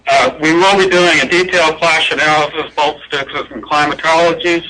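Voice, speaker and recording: A man with a fairly high, bright voice gives an unscripted technical presentation. He explains details informally, as a conference presenter would. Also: steady tone, normal speed, a little hiss or hum, low-quality recording. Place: indoor presentation room with projected slides.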